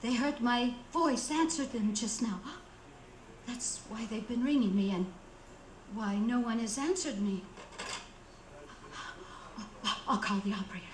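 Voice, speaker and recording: A woman playing a panicky, imperious bedridden wife in a radio play, with shrill, melodramatic, stammering indignation. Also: normal speed, reverberant, noisy background, close to an everyday voice. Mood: fearful, brooding. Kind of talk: radio theater acting.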